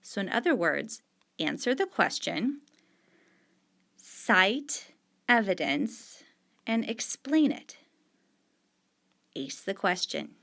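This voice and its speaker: Slow, patient woman teacher. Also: mid-range voice, big pitch swings, gravelly, a bit bright. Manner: slow, patient, stresses key words and repeats questions; annotating on screen with a pen while talking. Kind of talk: explaining discussion questions to students.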